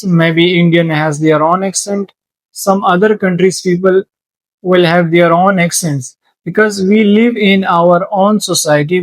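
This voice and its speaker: Slow-talking, earnest male tutor. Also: high voice, dry recording, low-quality recording. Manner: slow, earnest, with repetitions and restarts. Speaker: English teacher. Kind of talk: informal teaching monologue.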